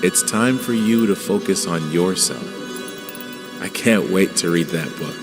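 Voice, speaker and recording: Devoted grown son, game character, reassuring, reverberant, music clearly behind. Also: male voice, sits low, unhurried. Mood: earnest, loving. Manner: earnest, reassuring. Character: earnest, devoted adult son, independent-minded. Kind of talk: naturalistic video game acting.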